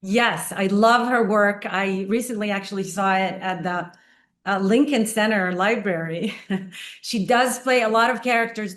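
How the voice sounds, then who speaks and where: A woman from Israel, a guest playwright and performer, talks through her life in an earnest, measured manner. Her voice sits at an ordinary middle pitch, and she tells each memory at an unhurried pace. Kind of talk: conversational interview.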